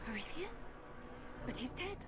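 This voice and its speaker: A woman's voice: high voice, very animated, unhurried, over music. An actress playing a proud, stern female warrior commander in a fantasy video game, speaking with solemn, commanding passion. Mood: doubtful.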